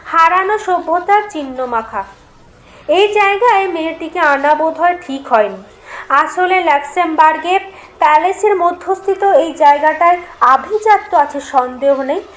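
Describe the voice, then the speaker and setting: High-pitched woman narrating a story. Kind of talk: dramatic scripted narration of a horror tale.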